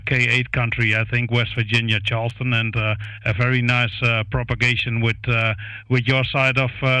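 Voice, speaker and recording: Bright, clear-voiced Dutch radio ham. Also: male voice, medium pitch, no room sound, poor audio. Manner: halting, frequent hesitations with uh. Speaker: amateur radio operator. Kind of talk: ham radio contact chat. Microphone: close studio broadcast microphone.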